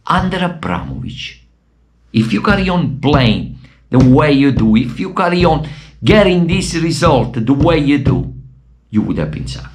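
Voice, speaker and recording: Passionate Italian man, lively slow delivery. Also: medium pitch. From Italy. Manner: emotional, passionate, bursts into shouted appeals. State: losing his voice. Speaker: football fan vlogger. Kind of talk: impassioned fan commentary to camera.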